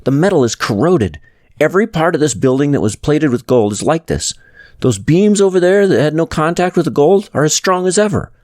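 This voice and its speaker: A man's voice: a little high, expressive, a little fast, a little mellow, no room sound, studio quality, voice pushed up, natural voice. Man acting a scornful, stubborn workman. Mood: insistent. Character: angry, stubborn city workman, scornful and accusing.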